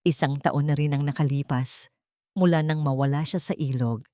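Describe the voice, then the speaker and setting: Woman doing a low, pushy greedy old mother-in-law. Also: steady tone, normal speed, dark tone, no room sound, studio quality, close to an everyday voice. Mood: pressuring. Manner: pressing, commanding.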